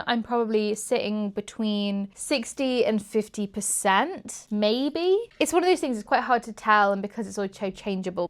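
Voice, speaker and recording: Woman vlogger just chatting, medium pitch, reflective, kinda working it out as she talks. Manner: conversational, thinking aloud, self-questioning. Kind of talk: casual, reflective chat to camera.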